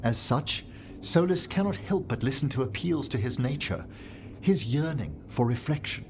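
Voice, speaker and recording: An actor voicing a courtly older professor of necromancy in a video game, speaking in formal, eloquent, philosophical phrases. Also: male voice, medium pitch, lively intonation, moderate pace, a little hiss or hum, close to an everyday voice. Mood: solemn, fond. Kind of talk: video game character acting.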